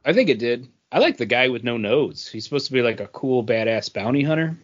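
A middle-aged man co-hosting a podcast, chatting casually about pop culture in a rambling, halting way. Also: medium pitch, very animated. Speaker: podcast co-host. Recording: dull lo-fi podcast audio.

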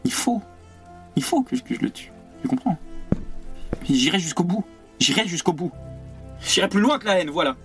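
An actor playing an earnest young idealist revolutionary, anguished and fervent, with halting, repeated words in a French radio play. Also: male voice, high-pitched, sing-song, a bit bright, soft background music. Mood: fervent, desperate. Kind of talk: French radio theatre acting.

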